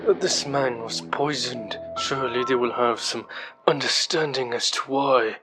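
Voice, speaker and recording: An actor voicing a big lizard professor in an audio drama, calm and reasoned, with measured, formal wording. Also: mid-range voice, over loud music, natural voice, rough recording. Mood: calm, dry.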